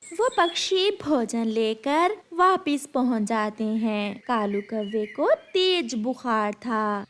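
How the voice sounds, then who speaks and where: Slow, high-pitched woman narrating a children's story. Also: lively intonation, a bit bright.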